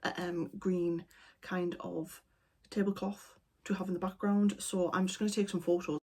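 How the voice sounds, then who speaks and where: A woman chatting casually as an art vlogger at home, talking in a relaxed, rambling way into her camera. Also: lowish voice, normal speed, husky. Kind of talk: casual vlog chat. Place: at home indoors. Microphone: camera mic.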